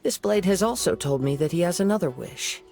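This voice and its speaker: Gentle young swordsman, light lively voice, polite, game cutscene. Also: sits high, a little fast, vocal fry, soft background music. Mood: calm. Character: calm young wandering swordsman. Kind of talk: video game voice acting.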